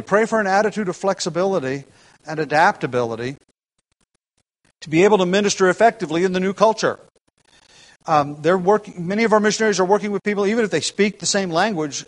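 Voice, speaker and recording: A man, a pastor, preaching a sermon in a halting way, with many restarts and light chuckles. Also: a little high.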